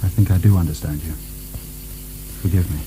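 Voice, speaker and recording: A man's voice: low voice, steady tone, fairly quick, music in the background, close to an everyday voice, poor audio. A man acting in a radio drama as a weary, wry imprisoned French nobleman who speaks in a musing, hesitant way. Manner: hesitant, musing, wryly ironic.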